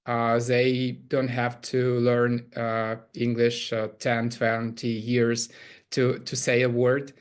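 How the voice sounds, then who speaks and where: Halting Ukrainian-accented man, flat delivery. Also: medium pitch, smooth. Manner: hesitant, many filler pauses. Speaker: camp CEO presenting. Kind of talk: webinar presentation.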